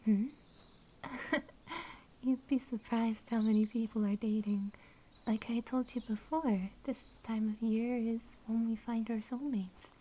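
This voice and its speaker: A woman voicing a shy, sweet young werewolf girl in an ASMR roleplay, speaking in soft, breathy whispers with a gentle, tender manner. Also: on the high side, steady tone, unhurried, poor audio. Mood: shy, affectionate. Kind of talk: ASMR roleplay, intimate storytelling.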